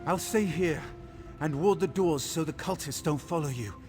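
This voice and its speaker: A man playing a helpful, excitable adventuring ally in a fantasy video game. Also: on the high side, moderate pace, natural voice. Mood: eager, urgent. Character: male amulet-wearing ally, alarmed but helpful conspirator. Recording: long reverb, music underneath.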